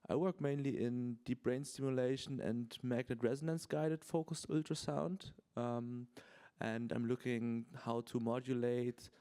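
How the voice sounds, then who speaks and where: A man with a mellow voice speaks carefully, sometimes restarting a word as he describes his doctoral work. He is giving a brief conference introduction. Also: medium pitch, measured pace, clear, resonant tone. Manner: careful, searching for words. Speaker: PhD student.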